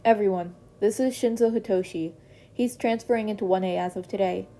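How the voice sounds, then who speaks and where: A woman's voice: mid-range voice, a little fast, warm tone, natural voice. A woman voicing a weary, matter-of-fact male homeroom teacher in a fan story reading. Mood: dry.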